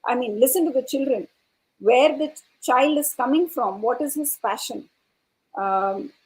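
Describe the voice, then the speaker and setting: A woman speaking as an educator on a panel, explaining her views in a halting, thoughtful way and often repeating words. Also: high voice, a little mellow, low-quality recording. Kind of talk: panel discussion answer, explanatory.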